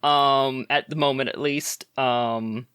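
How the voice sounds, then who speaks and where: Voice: mid-range voice, fairly even intonation, slow pace, fairly bright tone, clean tone, dry recording, clean studio sound. A player in a tabletop game chatting casually, thinking aloud with many fillers and laughs. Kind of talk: casual chat while playing a tabletop game.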